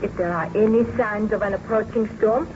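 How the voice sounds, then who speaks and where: An actress playing a baron's gentle, anxious beloved in old-time radio drama, with formal, solicitous delivery on an old radio recording. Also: female voice, mid-range voice, a little fast, muffled recording, background noise, lightly acted. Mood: solicitous, firm.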